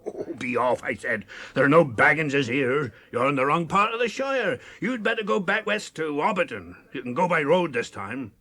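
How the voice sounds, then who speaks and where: A hearty old farmer with a burr and a slightly husky edge. He is gruff and protective, telling things in a blustery, rustic radio-drama manner. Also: fairly high pitch, lively intonation, moderate pace, few pauses, bright, forceful tone. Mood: indignant. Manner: rustic, blustery, chuckling storyteller's delivery. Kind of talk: 1980s radio drama acting.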